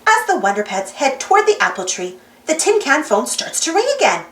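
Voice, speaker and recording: A woman reading a children's storybook aloud in an expressive narrating style. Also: sits high, brisk, echoey room. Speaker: storybook reader.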